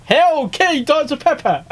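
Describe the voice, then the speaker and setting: A man's voice: extremely high pitch, very animated, slow, fairly bright tone. A man giving casual reaction commentary, stammering and repeating words in short asides. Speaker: reaction video host.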